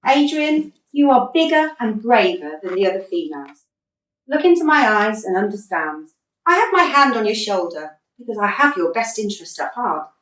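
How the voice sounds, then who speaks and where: Radio comedy: exasperated but patient female football coach played by a woman, articulate, swooping pitch, echoey room. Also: very animated, normal speed, a bit bright. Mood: earnest, encouraging. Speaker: actor in a radio play. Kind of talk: radio comedy drama acting. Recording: roomy echo, distant from mic.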